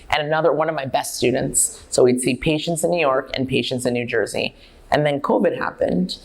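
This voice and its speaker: A middle-aged woman with a low voice speaks in an interview. She is self-assured and emphatic, telling personal stories candidly as a founder would. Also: creaky, rough recording. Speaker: company founder and chief executive, dietitian. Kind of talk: on-stage interview, personal storytelling.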